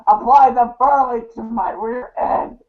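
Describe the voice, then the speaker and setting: A man's voice: extremely high pitch, steady tone, measured pace. A man playing an abrasive, profane bully who barks crude insults in an amateur read-along drama. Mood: mocking contempt. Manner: crude, taunting, sweary. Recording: thin phone-like sound.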